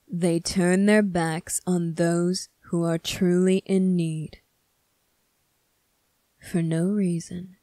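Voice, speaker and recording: A woman voicing a stern, bitter young male demon prince in an ASMR roleplay, speaking deliberately and accusingly, at times sinking toward a whisper. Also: fairly low pitch, mellow, dry recording. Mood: solemn, condemning. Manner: slow, deliberate, accusing, with breathy whispered phrases. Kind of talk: scripted ASMR roleplay monologue.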